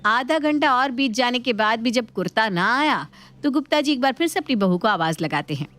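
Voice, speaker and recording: A woman's voice: fairly high pitch, animated, a little fast, a bit bright. A woman storyteller reading scripted narration for a moral story.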